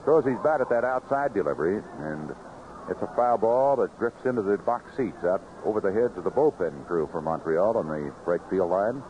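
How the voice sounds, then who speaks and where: An American man calling baseball play-by-play live on the radio in clipped phrases, in an animated manner. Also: fairly low pitch, normal speed. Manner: animated calls rising sharply on big plays, clipped phrases. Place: ballpark broadcast booth. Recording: noisy, muffled vintage AM radio broadcast.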